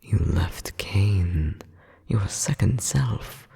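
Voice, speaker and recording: A man's voice: fairly low pitch, lively intonation, very slow, mellow, heavily acted. A man playing a cold, domineering demon nobleman scolding as an older brother, with haughty, formal menace in an ASMR audio roleplay. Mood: accusing. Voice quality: breathy, gravelly half-whisper. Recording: long cavernous reverb.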